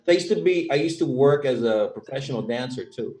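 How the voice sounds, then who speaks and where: A Filipino American man from the United States, a guest telling relaxed stories in a casual interview. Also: medium pitch, a little fast. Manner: easygoing storyteller. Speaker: guest, stunt performer. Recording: echoey room, distant from mic.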